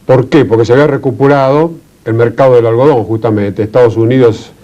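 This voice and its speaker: Mellow Argentine man, slightly muffled sound. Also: medium pitch, fairly even intonation, fast talker. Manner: didactic, with frequent check-in tags. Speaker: panel guest explaining history. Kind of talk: conversational analysis. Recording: dull, lo-fi sound.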